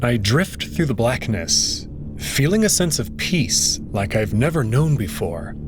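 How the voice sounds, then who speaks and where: A man reading dramatic first-person fiction in a dreamy, drawn-out way. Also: medium pitch, big pitch swings, noisy background. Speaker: reader voicing a first-person protagonist. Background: music.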